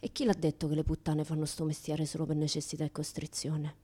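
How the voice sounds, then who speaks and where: A woman's voice: sits low, completely flat delivery, quick pace. Defiant, chatty Roman woman. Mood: indignant. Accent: Roman Italian. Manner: colloquial, rhetorical questions, swinging between hushed asides and loud outbursts. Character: self-styled naive young woman, defiant, chatty, indignant. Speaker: actress performing a monologue. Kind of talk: theatrical monologue for radio, colloquial and confessional.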